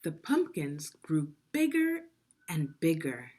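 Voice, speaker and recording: Lady teacher doing a kids read-along, really animated, slightly husky voice, slow and deliberate. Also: sits low. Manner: expressive, deliberate, instructional. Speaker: teacher reading aloud to children. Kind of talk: read-along storytelling with guiding questions.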